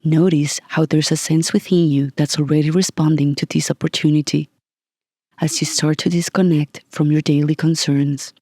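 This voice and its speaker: A meditation guide reading a scripted session in a calm, gentle, soothing manner with long pauses. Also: medium pitch, no room sound, clean studio sound.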